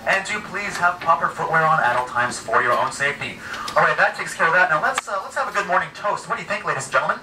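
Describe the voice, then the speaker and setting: Man, train attendant, playful showman, quick talker, distant, echoey coach, loud rumble. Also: expressive. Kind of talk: safety announcement with jokes. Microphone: far camera.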